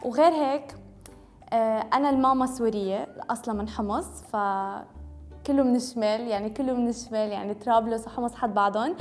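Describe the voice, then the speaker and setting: A woman's voice: fairly high pitch, brisk, darkish tone, soft background music. A young woman from Lebanon whose first language was French, speaking in a Tripoli Lebanese accent with Syrian touches. She chats casually to camera and drops English words into her talk. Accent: Tripoli Lebanese Arabic with Syrian Homs touches. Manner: mixes English words into Arabic, chatty and self-correcting. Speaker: beauty vlogger.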